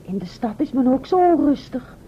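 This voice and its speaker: High naive maid, tinny recording. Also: female voice, big pitch swings, moderate pace, a little hiss or hum. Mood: wistful. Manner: naive, artless. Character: naive, timid serving maid of centuries ago. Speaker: radio play actor. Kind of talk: old Dutch radio play acting. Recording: thin, phone-like old radio recording.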